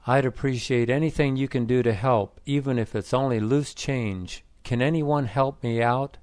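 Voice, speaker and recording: A man voicing a pleading woman panhandler around forty, described as raspy. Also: mid-range voice, moderate pace, a little mellow, no room sound, lightly acted.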